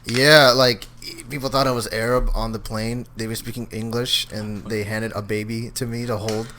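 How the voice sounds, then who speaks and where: A man's voice: mid-range voice, normal speed, a little hiss or hum. Slangy young man telling stories. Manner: animated storyteller, slangy, laughs a lot. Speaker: podcast co-host. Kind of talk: casual chat.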